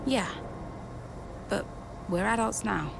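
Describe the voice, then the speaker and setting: Headstrong young female racer, very expressive. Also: mid-range voice, takes it slowly, creaky, background noise, heavily acted. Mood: wry, softened. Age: young adult. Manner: emotional, blunt, sardonic. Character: headstrong young female racing driver, frustrated and wounded. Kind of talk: dramatic video game cutscene acting.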